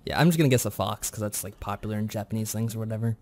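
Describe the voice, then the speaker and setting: A man's voice: lowish voice, rapid-fire, a little mellow. A man chatting casually as a quiz contestant, wry and self-deprecating. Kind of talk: casual chat.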